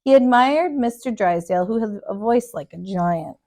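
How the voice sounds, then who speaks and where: Woman, slightly high voice, expressive storybook narration, emphatic, deliberate phrasing. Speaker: storybook reader, kindergarten teacher. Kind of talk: expressive children's read-aloud narration.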